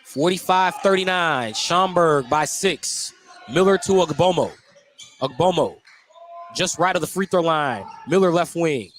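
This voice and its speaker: American male basketball announcer, slightly high voice, live radio play-by-play, noticeable background noise from an indoor gym. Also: lively intonation, a little slow, a bit bright. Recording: clearly noisy live gym broadcast.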